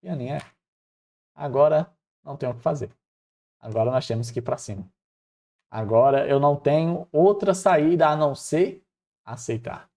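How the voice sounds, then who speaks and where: A man's voice: fairly high pitch, normal speed, long pauses, mellow, natural voice. A man voicing a timid, self-doubting man who makes colloquial, pleading excuses in a Bible story. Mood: resigned.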